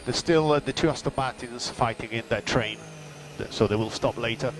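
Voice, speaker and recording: A man speaks as a race engineer over team radio, in a medium-pitched voice. He gives short, matter-of-fact technical updates in clipped phrases. A clear layer of hum and mechanical noise sits behind him. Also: moderate pace.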